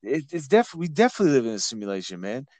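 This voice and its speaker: A man's voice: medium pitch, sing-song, very fast, darkish tone, no echo. A man hosting a casual podcast, talking bluntly with plenty of swearing and repeated words. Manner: blunt, repetitive, profane. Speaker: podcast host.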